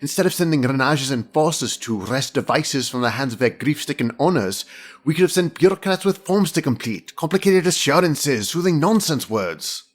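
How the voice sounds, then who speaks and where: A man playing a fastidious, analytical senior inspector, speaking with precise, formal pomposity in an audio drama. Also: on the high side, animated. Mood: measured, reasoning.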